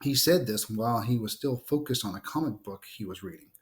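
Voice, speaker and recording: A man's voice: on the low side, animated, a little fast. A man reading a children's novel aloud expressively, now and then stumbling and going back over a phrase. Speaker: book reader.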